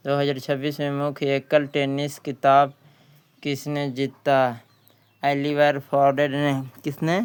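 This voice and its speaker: Male quiz tutor, smooth clear tone, flat intonation, halting read-aloud of questions and answers with repeats. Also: medium pitch. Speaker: exam-prep tutor.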